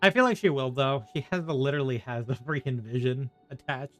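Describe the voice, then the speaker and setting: A man's voice: mid-range voice, fairly quick. A man giving casual gameplay commentary as a streamer, rambling and often repeating himself. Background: faint game music.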